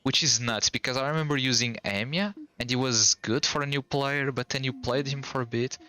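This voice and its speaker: A man's voice: medium pitch, steady tone, a little fast, bright, forceful tone. A young man with a Portuguese accent, a native Portuguese speaker, chatting casually with viewers while streaming. He rambles in a loose, slangy way and restarts often. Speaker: game streamer.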